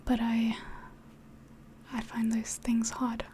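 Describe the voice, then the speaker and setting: A woman with a slightly mixed English accent whispers softly in a rambling, hesitant way, as if hosting an ASMR livestream chat. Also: a little high, monotone, takes it slowly, slight background noise. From England. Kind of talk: soft-spoken ASMR chat, mostly whispered.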